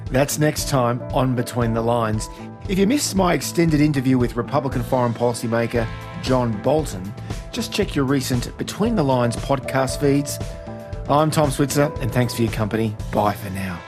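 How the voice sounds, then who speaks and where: A man's voice: medium pitch, lively intonation, normal speed, music in the background. A man hosting a radio interview program, asking pointed, probing questions and wrapping up the show.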